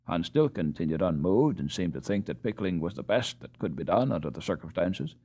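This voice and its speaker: A man narrating a storybook as an audiobook reader, in an expressive scripted style. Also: sits low, fairly quick, husky.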